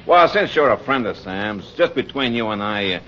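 An actor playing a gossipy working-class bartender with folksy, ungrammatical talk in a 1940s radio drama. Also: male voice, on the low side, animated, moderate pace, fairly bright tone. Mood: confiding. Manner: folksy, ungrammatical, hesitant asides. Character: gossipy, plain-spoken working-class male pool-room bartender. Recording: muffled, noisy old radio recording.

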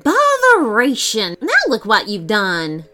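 A woman voicing a brisk, bossy little female tooth fairy who grumbles and snaps in a storybook reading. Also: sits high, huge pitch swings, sharp tone, faint music behind, pitched above the performer's own voice, full character voice. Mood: snappy. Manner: snappy and fussy, grumbling.

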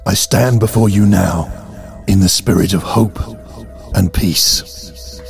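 An actor playing a pompous alien android who poses as a benevolent deity, speaking formally and grandly in an audio drama. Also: male voice, low-pitched, slow pace, gravelly, soft background music, heavily acted. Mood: solemn, grandiose.

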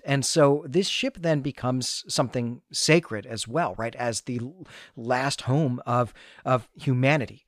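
A man's voice: mid-range voice, no echo, clean studio sound. A man co-hosting a podcast, recapping and discussing a story conversationally, often repeating words as he feels his way through sentences. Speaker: podcast co-host.

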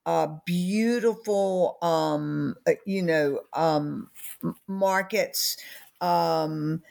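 Slow, measured older Southern woman. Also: lowish voice, gravelly, a little mellow. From North Carolina. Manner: slow, measured, with many fillers and hesitations. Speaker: author and company founder. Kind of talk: interview conversation.

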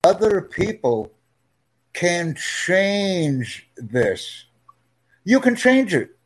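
A man speaks at a deliberately slow pace, with a slightly raised pitch. He is a tutor who talks in a friendly, emphatic way and often repeats himself for clarity. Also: sing-song, poor audio. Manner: speaks slowly and clearly, friendly, emphatic repetition. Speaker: English tutor. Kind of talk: slow, clear teaching talk.

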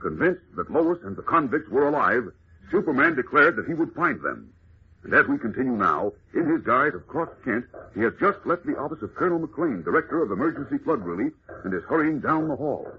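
A man's voice: mid-range voice, big pitch swings, fairly quick. A man narrating as a 1940s radio serial announcer, with theatrical, emphatic delivery. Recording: muffled old radio, faint noise.

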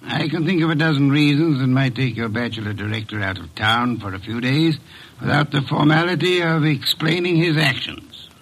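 An actor playing a curt, imperious gourmet detective boss in a classic radio drama, with pompous, precise, formal diction. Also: male voice, mid-range voice, fairly even intonation, normal speed, a bit bright, close to an everyday voice, low-quality recording. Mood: curt, dismissive.

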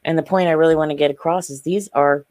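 A woman's voice: low voice, steady tone, quick pace, a little mellow, no echo, clean studio sound. A woman guest on a podcast who speaks earnestly and insistently in casual conversation.

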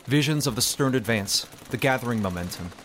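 A man's voice: medium pitch, animated, fairly quick, a little hiss or hum. A man reading a literary story as narrator, in a dramatic audiobook style. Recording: music behind the voice. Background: background music.

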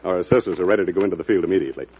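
An actor playing an eager, deferential government official, in a 1940s radio drama. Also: male voice, deep voice, rapid-fire, background noise, lightly acted. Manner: polite and deferential. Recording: dull old radio recording.

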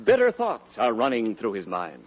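A man's voice: mid-range voice, wildly swooping pitch, moderate pace, noisy background. A male American radio announcer reading scripted ads and narration in 1940s broadcast style. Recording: dull vintage radio broadcast.

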